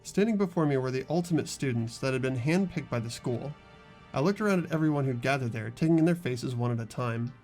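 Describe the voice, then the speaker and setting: A man's voice: medium pitch, lively intonation, fast talker, a little mellow. A man hosting a voiced game playthrough, chatting casually and reading narration over game music.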